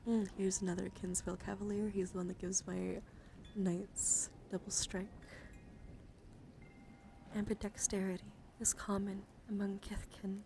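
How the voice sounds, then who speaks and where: Female ASMR streamer, soft and soothing, drifting into whispers, close-miked with clear background noise. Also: mid-range voice, flat delivery, a little slow. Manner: soft-spoken, often dropping into whispers; gentle, soothing, encouraging. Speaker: livestream host showing trading cards. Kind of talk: ASMR, soft casual chat.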